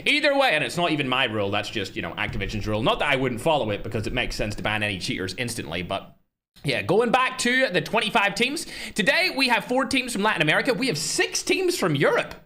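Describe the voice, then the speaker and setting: A man's voice: on the high side, wildly swooping pitch, sharp tone. A man hosting a live stream, chatting casually and brashly straight to his viewers with frequent asides. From Northern Ireland. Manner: brash, fast-flowing, talks straight to chat, trash-talking. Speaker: livestream host and tournament organizer.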